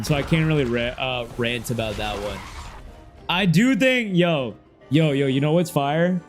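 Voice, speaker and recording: A young man streaming a game and chatting casually with his viewers, rambling in heavy slang and often trailing off quieter. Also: a little high. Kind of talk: casual livestream chat, reading chat aloud. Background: faint game music.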